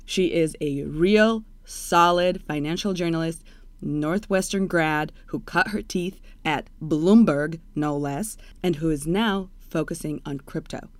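A woman's voice: deep voice, measured pace, no echo. Candid, playful woman host. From Russia. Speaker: podcast host. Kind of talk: interview.

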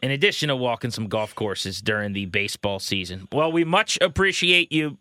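Very bright, punchy male podcast host. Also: medium pitch, expressive, brisk, dry recording. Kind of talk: casual bantering interview.

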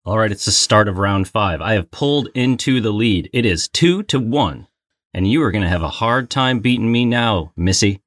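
A man's voice: on the low side, expressive, moderate pace, a bit bright, dry recording, studio quality. A man co-hosting a casual trivia game, bantering playfully and announcing like a mock game-show host. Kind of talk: casual bantering quiz chat.

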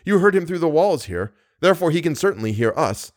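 Guy doing an insistent young lover from a storybook, protective, jealous, pitched up a bit, pushy questions. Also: sing-song, brisk. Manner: urgent, questioning, emphatic.

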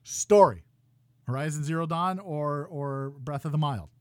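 A man in his thirties hosting a gaming podcast, ranting loudly with exasperation while losing his voice. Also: a little high, unhurried, no echo, studio quality. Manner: loud exasperated ranting, swings to quiet muttering. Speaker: podcast host.

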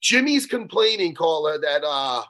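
Podcast co-host, male: high, animated, husky, always joking. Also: unhurried. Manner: joking, teasing banter. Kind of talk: casual chat.

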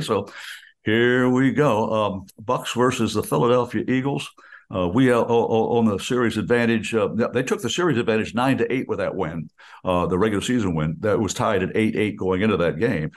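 An older man, a radio play-by-play announcer chatting in a folksy, rambling and animated way with lots of fillers. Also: on the low side. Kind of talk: casual radio chat.

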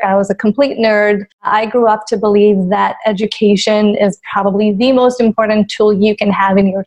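A woman's voice: medium pitch, a little mellow. Israeli-raised woman, candid interview guest. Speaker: guest, marketing executive. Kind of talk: conversational interview answers.

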